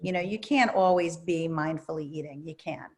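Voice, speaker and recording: A woman therapist and author answering questions at a virtual book talk, calm and soothing, with lively emphasis. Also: deep voice, moderate pace.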